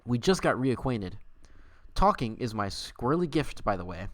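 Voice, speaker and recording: A man's voice: medium pitch, sing-song, normal speed, a bit bright, no room sound. A man voicing a wily, flirtatious female talking squirrel in a dramatic fan fiction reading, teasing and suggestive. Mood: flirty, teasing.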